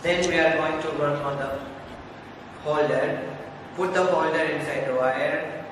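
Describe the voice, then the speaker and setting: A teenage boy from Sri Lanka demonstrates a craft project, pausing often and speaking slowly. The room is very echoey with a long reverb. He is captured by a camera phone at a distance, with clear background noise. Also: a little high, steady tone. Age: teens. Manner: simple non-native phrasing with pauses. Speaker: student presenter. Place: classroom indoors.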